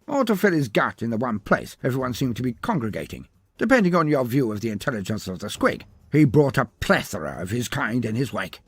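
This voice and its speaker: A man's voice: on the high side, slightly rough, no echo. A man narrating a scripted fantasy tale in a theatrical, florid and wry manner. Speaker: storyteller narrator.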